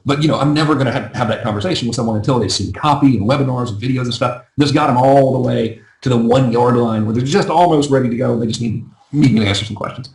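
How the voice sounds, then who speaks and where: Guy teaching on a webinar, talks fast, voice kinda going, lots of "right?". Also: mid-range voice, creaky, a little mellow, reverberant, low-quality recording. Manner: fast, chatty, self-deprecating, often asks "right?". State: losing his voice. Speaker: business coach teaching a live webinar. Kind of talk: casual live webinar teaching.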